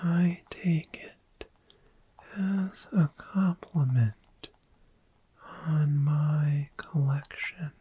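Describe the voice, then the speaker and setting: A performer voicing a friendly, gentle dragon in a soft-spoken ASMR roleplay, breathy and mostly whispered, soothing and lingering over words. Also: medium pitch, full character voice. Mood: sleepy, tender. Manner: slow, soothing, lingering repetition. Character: friendly, gentle dragon host of a sleepy hoard.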